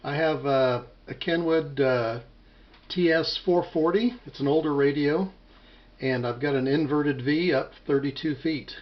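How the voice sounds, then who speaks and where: An American man talking casually as an amateur radio operator, measured and a little hesitant, on a muffled home recording. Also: on the high side, slight background noise.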